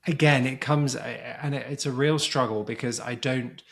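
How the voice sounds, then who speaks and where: Man, gravelly voice, podcast guest, thoughtful, explanatory, casual conversational talk. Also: mid-range voice, fairly even intonation, moderate pace. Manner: thoughtful, explanatory, restarts phrases often. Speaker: podcast guest, surrogacy community co-founder.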